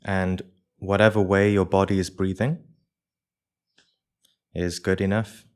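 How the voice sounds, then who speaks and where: Man, meditation teacher, deep voice, very unhurried, short measured phrases, frequent pauses. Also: level tone, darkish tone, smooth. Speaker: meditation teacher leading a group. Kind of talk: live guided meditation, spoken instruction.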